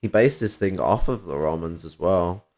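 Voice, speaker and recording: A man's voice: sits low, lively intonation, moderate pace, darkish tone. A man with a Northern English accent chatting casually about politics on a stream, rambling, swearing a lot and restarting his sentences, with a slightly whiny voice. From Northern England. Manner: rambling, swears often, many fillers and restarts.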